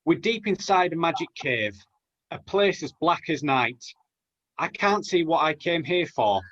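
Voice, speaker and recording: Man playing a high-voiced plucky boy adventurer. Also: animated, unhurried, a bit bright, muffled recording. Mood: uncertain. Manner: earnest, theatrical rhyming delivery. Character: plucky, naive young Aladdin, a boy adventurer. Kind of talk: pantomime acting.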